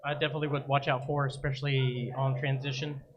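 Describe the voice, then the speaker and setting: A man co-hosting a live soccer show, talking in a relaxed, easygoing way. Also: medium pitch, fast talker, lo-fi sound. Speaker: podcast co-host. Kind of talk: live casual soccer chat. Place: busy brewery. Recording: noisy live bar recording. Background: crowd chatter.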